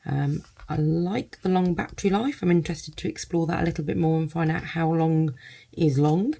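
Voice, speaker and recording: A woman's voice: sits low, moderate pace, creaky, dark tone. A woman giving a casual first-look product review, thinking aloud in loose, hesitant phrases.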